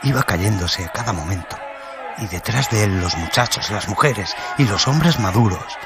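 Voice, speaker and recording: Story narrator: male, deep-pitched, solemn and dramatic, reading over loud background music. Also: expressive, normal speed.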